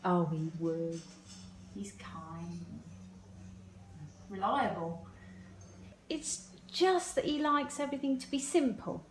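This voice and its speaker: A woman's voice: mid-range voice, expressive, slow pace, pauses often, slight background noise. Woman acting wistful middle-aged mother, distant roomy recording. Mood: resigned, confiding. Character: middle-aged birth mother, wistful, anxious, devoted to her secret daughter. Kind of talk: amateur stage-play acting, naturalistic dialogue.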